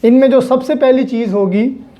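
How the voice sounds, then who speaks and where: A woman's voice: high-pitched, moderate pace, warm tone. A woman with a Pakistani accent giving an explanatory law lecture, mixing in English terms and repeating points in a didactic way.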